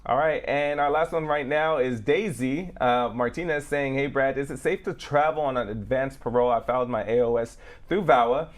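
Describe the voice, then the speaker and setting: Male co-host, medium-pitched voice, reads audience questions aloud, casual talk-show delivery. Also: normal speed. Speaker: co-host reading viewer questions.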